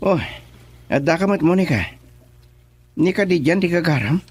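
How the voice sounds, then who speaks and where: A man's voice: fairly high pitch, lively intonation, moderate pace, over loud music, close to an everyday voice. An actor playing an indignant young man confronting his friends, in Ilocano radio drama with a Filipino accent. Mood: suspicious, surprised.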